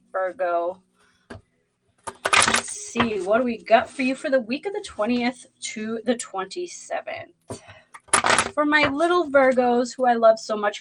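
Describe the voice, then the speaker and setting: A woman's voice: mid-range voice, very noisy. A woman with a Boston accent hosting a zodiac reading livestream, chatting loosely with many fillers and greeting viewers in the chat. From Boston, USA. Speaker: tarot reader hosting a livestream.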